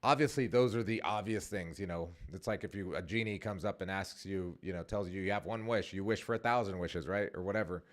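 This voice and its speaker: A man's voice: sits low, brisk, few pauses. A man in his forties hosting his own vlog, talking casually and rambling with many fillers and restarts. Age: 40s. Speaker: vlogger and podcast host.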